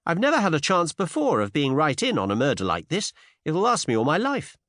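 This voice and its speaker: A man's voice: fairly high pitch, huge pitch swings, a little fast, fairly bright tone, no room sound, clean studio sound, pitched above the performer's own voice. A man voicing a cheeky, bold young woman in an audiobook, pert and teasing, with eager curiosity and old-fashioned slang. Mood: eager, ghoulish. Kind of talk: audiobook character dialogue with period slang.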